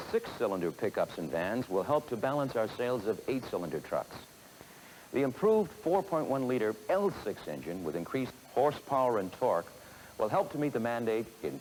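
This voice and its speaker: Lively low-voiced man narrating a training film. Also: rough recording. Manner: instructive, measured delivery. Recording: 1970s film soundtrack with faint hiss.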